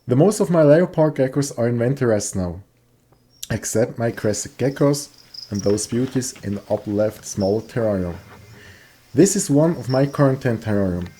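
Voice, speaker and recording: A man's voice: medium pitch, warm tone, breathy edge. A man with a Swiss accent who is not a native English speaker, giving a careful, scripted voice-over tour of his gecko setup. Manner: careful, plain delivery with some halting phrasing. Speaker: hobby gecko keeper and maker.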